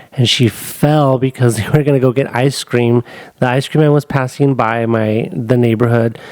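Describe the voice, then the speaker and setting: Male podcast co-host, stuffy nose from a cold, relaxed storyteller, dry close sound. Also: medium pitch, no room sound. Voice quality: nasal. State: congested from a cold. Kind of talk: casual podcast chat.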